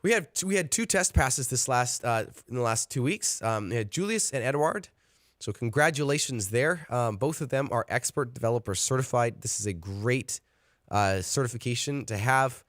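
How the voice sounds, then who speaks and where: A man's voice: medium pitch, animated, moderate pace, a bit bright, no echo, clean studio sound. A man leading an online study session, earnest and encouraging, with frequent fillers. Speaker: study group leader.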